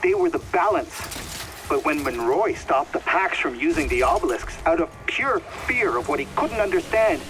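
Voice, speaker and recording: A thoughtful male ally played by a man works things out aloud. His voice sounds slightly distant from the mic. Loud game music plays behind him. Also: on the high side, animated, moderate pace, lightly acted. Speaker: game character. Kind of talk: video game cutscene acting.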